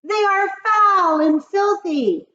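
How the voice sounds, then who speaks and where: A woman's voice: extremely high pitch, lively intonation, slow, sharp tone, voice pushed up, exaggerated voice. A woman voicing a crowd of overexcited, fawning female witches who squeal and chant back at their leader. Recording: dull lo-fi recording.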